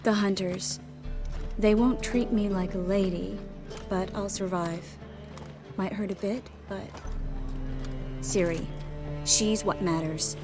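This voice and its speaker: Lady voicing a resolute sorceress in a video game, mid pitch, direct n matter of fact, music underneath. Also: steady tone, takes it slowly, pauses often, natural voice. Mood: earnest, brave. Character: resolute, self-possessed female sorceress, brave and frank. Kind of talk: video game dialogue acting. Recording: music behind the voice.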